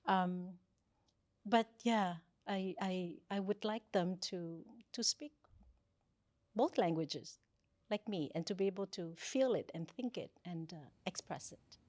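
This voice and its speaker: A middle-aged woman speaking about her children in an interview, laughing between thoughts, recorded on a stand mic in a curtained studio. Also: mid-range voice. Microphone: stand mic close by.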